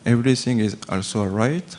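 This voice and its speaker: A man in his thirties from France with a French accent, haltingly asking a question at a talk, with many pauses and restarts. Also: medium pitch, darkish tone. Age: 30s. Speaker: audience member asking a question. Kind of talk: hesitant spoken question in a second language.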